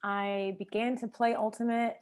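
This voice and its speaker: A woman's voice: on the high side, little pitch movement, normal speed, clean tone. A woman speaking in a documentary interview, emphatic and given to rhetorical questions. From Georgia, USA.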